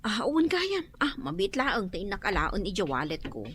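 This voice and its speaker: A woman's voice: mid-range voice, huge pitch swings, moderate pace, a bit bright, a little hiss or hum. A woman playing a fretful, generous adult daughter in an Ilocano comedy radio drama, speaking emotively with an Ilocano accent. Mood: placating.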